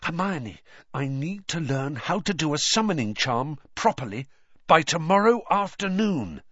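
A man's voice: sits high, big pitch swings, normal speed, bright, forceful tone, dry recording, lo-fi sound, pitched above the performer's own voice, full character voice. A man voicing an anxious, hot-tempered teenage boy wizard in an audiobook, defensive and urgent.

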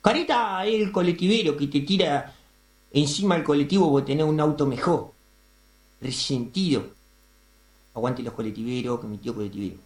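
A roomy, echoing recording of a man acting an indignant Argentine radio editorialist. The voice sits fairly high, and the delivery is pompous and crude, like a street orator in a comic radio play. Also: moderate pace, lightly acted. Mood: ranting. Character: ranting, vulgar, self-important male radio editorialist. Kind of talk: comic radio theatre monologue.

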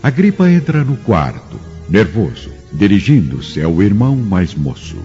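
A man's voice: lowish voice, wildly swooping pitch, a little slow, warm tone. A man with a Brazilian accent giving dramatic scripted narration for a radio drama. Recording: muffled radio production with music.

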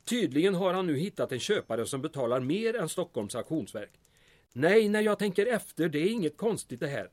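A man's voice: fairly high pitch, very animated, darkish tone. En man som spelar en pressad, torrt ironisk borgmästare i ett ljuddrama. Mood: troubled, wry. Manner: exasperated, drily ironic. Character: beleaguered, wry male small-town mayor protesting his innocence. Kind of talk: audio drama acting.

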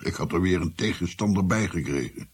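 A man's voice: low voice, brisk. An actor playing an old physician, a grandfather who recounts his past in a reflective, rueful radio drama narration. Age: older. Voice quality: gravelly, husky.

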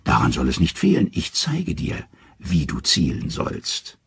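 A man's voice: rumbling low, wildly swooping pitch, a little slow, vocal fry, fairly bright tone, slightly rough, heavily acted. Man doing a sly, wheedling trickster god. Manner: thin wheedling voice.

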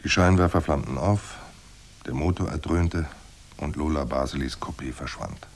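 An actor playing a hard-boiled private detective in a noir radio drama, dry, laconic and sardonic. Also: male voice, very deep voice, moderate pace. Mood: dry, wry. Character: hard-boiled male private detective narrating, wry and unflappable. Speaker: narrator and lead actor.

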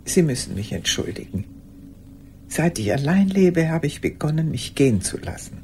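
An actress playing a lonely old woman who confesses haltingly and intimately in a radio drama monologue. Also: sits low, very animated, slight background noise. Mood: weary, confiding. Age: older. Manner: hesitant, halting, intimate, with hushed phrase endings.

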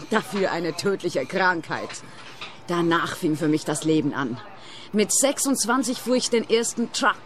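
A woman's voice: fairly low pitch, animated, unhurried, slight background noise. An actress playing an eccentric young female trucker in a radio play, dreamy and oddly matter-of-fact. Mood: wistful, cheerfully morbid. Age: young adult.

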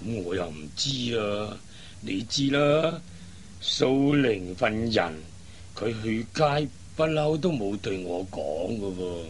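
A man's voice: fairly low pitch, lively intonation, slow. A man playing a worried, hesitant elderly father in a radio drama, often sighing. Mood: puzzled, troubled. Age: older. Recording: faint background noise.

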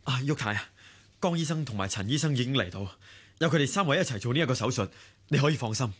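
An actor playing a brisk, deferential company manager in a radio drama. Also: male voice, medium pitch, lively intonation, a bit bright, husky. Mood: brisk, attentive.

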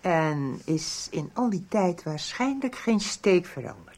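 An actress playing a sharp, accusing, anxious middle-aged mother in a radio soap, with a husky voice. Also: fairly low pitch, big pitch swings, slow pace, exaggerated voice. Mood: accusing, sarcastic.

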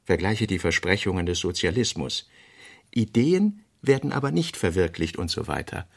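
A man narrating a radio play, reading fragmentary notes in a measured, clipped way. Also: sits low, lively intonation, vocal fry, slightly rough. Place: radio studio.

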